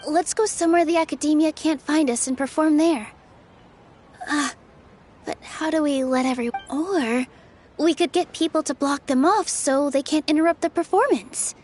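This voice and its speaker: A woman voicing a small, excitable childlike girl companion in a video game, squeaky and emotional, with earnest loyalty. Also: moderate pace, soft background music, close to an everyday voice. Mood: worried, earnest. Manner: exclamatory, emotional.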